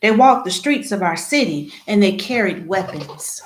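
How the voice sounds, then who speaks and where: A woman reading a children's picture book aloud, phrase by phrase, with expressive emphasis at the start of sentences. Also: medium pitch, normal speed, slight background noise. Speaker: read-aloud storyteller. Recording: echoey room.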